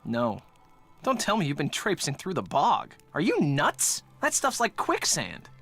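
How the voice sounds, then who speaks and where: A man's voice: a little high, huge pitch swings, a little slow, creaky, fairly bright tone, breathy edge, faint music behind, exaggerated voice. A man acting a breezy, evasive real-estate developer in a video game, chatty and emphatic. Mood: alarmed warning. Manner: chatty, emphatic, brushes things off. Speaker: video game character actor. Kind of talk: scripted game dialogue.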